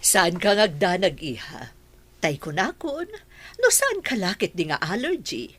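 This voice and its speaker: A woman's voice: fairly low pitch, very animated, measured pace, fairly bright tone, breathy edge, heavily acted. A woman acting a reassuring plastic surgeon in an Ilocano radio comedy drama. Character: reassuring female plastic surgeon, a family friend.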